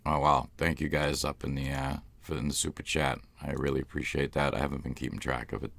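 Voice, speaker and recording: A man's voice: very deep voice, flat delivery, fairly quick, creaky, fairly bright tone, dry recording. A man with a Boston accent, hosting a live stream in loose, rambling casual chat. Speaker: ASMR channel host.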